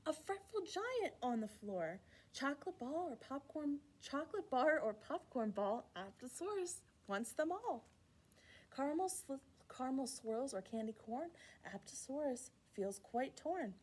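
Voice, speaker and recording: A woman hosting a children's storytime, reading a rhyming picture book aloud in an expressive, playful way with asides to young listeners. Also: high voice, measured pace. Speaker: children's library storytime host.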